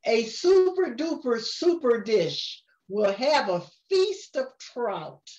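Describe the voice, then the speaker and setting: An older woman reading a rhyming picture book aloud in a gentle, unhurried way, often chuckling. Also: on the high side, big pitch swings, dull audio.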